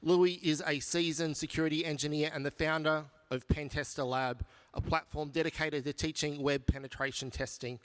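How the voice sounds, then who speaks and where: A man's voice: fairly high pitch, fairly even intonation, normal speed, a bit bright, smooth. A man hosting a conference, reading a formal introduction of the keynote speaker. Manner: formal, reads a prepared bio. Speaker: conference host. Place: conference hall stage.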